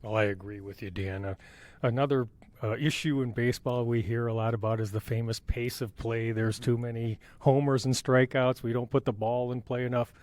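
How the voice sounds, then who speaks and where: A man's voice: mid-range voice, fairly even intonation, a little fast, darkish tone, no echo. Male interviewer with a dry, close sound. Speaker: interview host. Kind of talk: conversational interview questioning.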